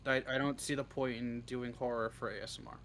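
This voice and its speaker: A man's voice: mid-range voice, steady tone, fast talker, fairly bright tone, a little hiss or hum. A man chatting casually as an interview guest, blunt and informal, swearing freely. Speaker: interview guest, script writer. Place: live online voice stream.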